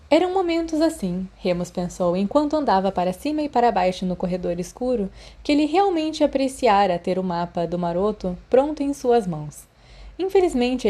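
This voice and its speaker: A Brazilian woman narrates fiction in a scripted reading. Her voice is mellow and dark, sitting in an ordinary middle pitch for a woman. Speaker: audiobook narrator.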